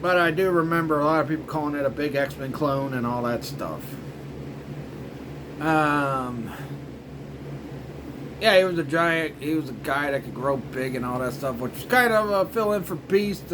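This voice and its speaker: Higher-voiced man over noisy hum. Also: a little slow. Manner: informal, repetitive filler phrases like "you know" and "frickin'". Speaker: host of a cartoon review show. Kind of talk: casual rambling commentary.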